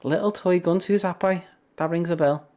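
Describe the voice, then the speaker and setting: A young man with a deep voice chatting casually with viewers on a livestream, rambling and laughing often between thoughts. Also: normal speed, a little mellow.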